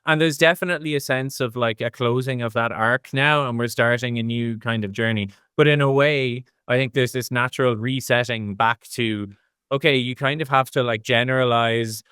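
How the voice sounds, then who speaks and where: Middle-aged man, smooth dry-sounding voice. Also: medium pitch, a bit bright, no room sound. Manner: discursive, thinks aloud in long hedged explanations. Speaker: interview guest, design executive. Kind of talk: casual interview explanation.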